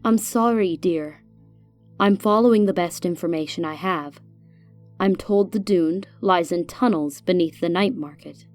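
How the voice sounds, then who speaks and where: Actress doing kindly older lady guide in an audio drama, bit low, measured and knowing, faint music behind. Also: a little mellow, natural voice. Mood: gentle, apologetic. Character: kindly, steady older woman, seasoned renegade guide.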